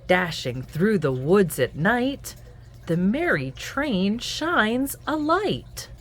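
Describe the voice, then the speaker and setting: A woman reading a children's picture book aloud in an expressive, singsong storytelling manner. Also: medium pitch. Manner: animated, singsong, deliberate storytelling. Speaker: children's book reader and host.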